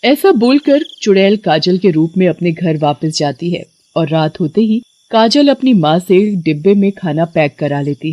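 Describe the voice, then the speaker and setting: A woman's voice: on the low side, darkish tone, dry recording, dull audio. A woman narrator reading a scripted story.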